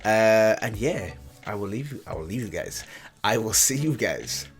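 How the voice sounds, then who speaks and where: A man's voice: lowish voice, sing-song, moderate pace, loud music behind the voice. A man hosting a reaction channel, giving casual, chatty commentary and repeating himself for emphasis.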